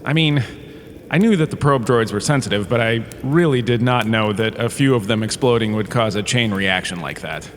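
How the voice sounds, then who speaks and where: A man's voice: medium pitch, normal speed, lightly acted. A man playing a washed-up, self-important holodrama star who gives rambling, breezy, self-excusing comedic lectures in an audio drama. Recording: cavernous reverb with faint background noise.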